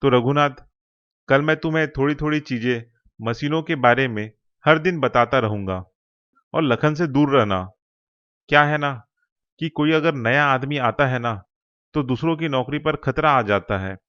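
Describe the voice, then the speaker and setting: A man's voice: mid-range voice, normal speed, a bit bright, highs cut off, close to an everyday voice. A man voicing a kindly, cautious veteran factory worker in a cartoon story, patiently explaining and advising. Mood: friendly advising. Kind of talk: Hindi cartoon story dialogue.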